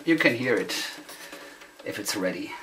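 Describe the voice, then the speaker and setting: A man's voice: lowish voice, expressive, normal speed, a bit bright. A man with a German accent, a native German speaker, giving a casual hands-on demonstration in hesitant, self-conscious English, searching for words. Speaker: hobbyist demonstrator. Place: indoor room, beside tape machines. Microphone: camera microphone. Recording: noisy amateur camera sound with clicks.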